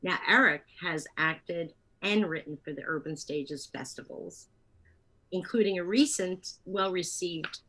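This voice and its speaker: A woman being interviewed as a theater producer, talking conversationally and partly from notes, with halting pauses and self-corrections. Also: lowish voice, fairly bright tone, rough recording.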